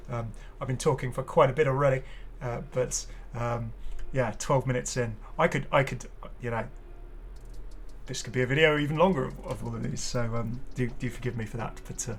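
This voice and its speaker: Male gaming video host, casual and unscripted, halting and rambling, mid-pitched, faint music underneath. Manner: hesitant, rambling, apologetic asides. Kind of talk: casual off-the-cuff commentary.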